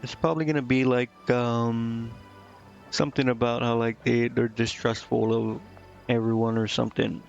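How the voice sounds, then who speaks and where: A man's voice: medium pitch, level tone, normal speed, creaky, lo-fi sound. A man giving casual, hesitant game commentary, thinking aloud as he plays. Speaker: game streamer. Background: game music.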